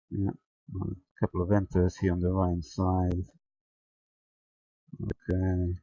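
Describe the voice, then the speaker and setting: Low-voiced, slow, hesitant man demonstrating. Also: darkish tone, dry recording. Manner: hesitant, halting, thinking aloud. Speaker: amateur radio video tutorial presenter. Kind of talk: casual hands-on screen demonstration narration. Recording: dull, lo-fi close sound.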